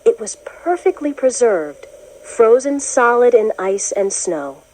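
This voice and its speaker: A woman reading a children's science book as scripted narration, in a clear, expressive storytelling manner. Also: fairly high pitch, slow pace, rough recording. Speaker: narrator of a children's educational read-along.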